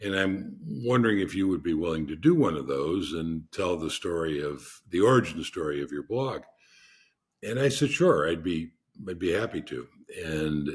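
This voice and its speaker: A man with a deep voice, a podcast guest telling rambling anecdotes in a casual interview, often restarting his sentences. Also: husky.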